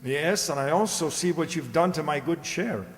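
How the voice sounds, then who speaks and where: An actor playing a principled older tavern keeper in a radio drama, earnest and expressive. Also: male voice, fairly high pitch, moderate pace, close to an everyday voice. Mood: indignant. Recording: reverberant hall.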